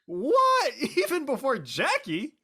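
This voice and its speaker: Bright, lively teenage jock played by a man. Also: very high voice, slow pace, heavily acted. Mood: elated, amazed. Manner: slangy, exclamatory. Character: excitable, loyal teenage jock, high school senior boy. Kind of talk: naturalistic dialogue in a live-streamed audio play.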